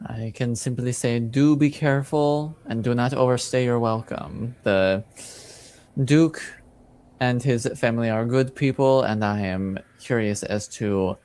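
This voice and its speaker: A man running a tabletop game as game master, deliberate and measured, pausing as he picks his words. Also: fairly low pitch, a little mellow. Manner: deliberate, hesitant pauses. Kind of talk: measured tabletop narration and casual talk.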